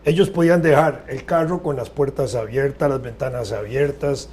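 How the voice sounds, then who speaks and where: A man's voice: medium pitch, normal speed, darkish tone. An older man, explaining points in a panel chat. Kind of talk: casual chat.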